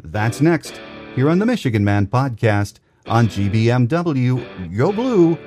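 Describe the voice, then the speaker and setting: A man's voice: medium pitch, very animated, a little slow, darkish tone, soft background music. A man hosting a sports podcast, presenting scripted news and introducing interviews. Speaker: podcast host.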